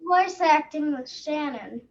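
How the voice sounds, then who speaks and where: High-pitched enthusiastic female student, muffled. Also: expressive, takes it slowly, noisy background. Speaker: theater class student. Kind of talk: short casual remarks. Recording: dull lo-fi video call.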